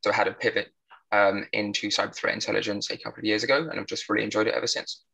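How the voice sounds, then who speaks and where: A young British man speaks as a panelist in a conversational register. His voice is lowish and bright, and he talks at a brisk pace. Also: level tone, gravelly, husky, low-quality recording. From United Kingdom. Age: young adult. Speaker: panelist, threat intelligence professional.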